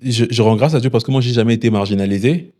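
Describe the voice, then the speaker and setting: A man, a startup founder answering interview questions in a measured way with many hesitations. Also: medium pitch, warm tone. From DR Congo. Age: 20s. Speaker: founder and CEO of a delivery startup.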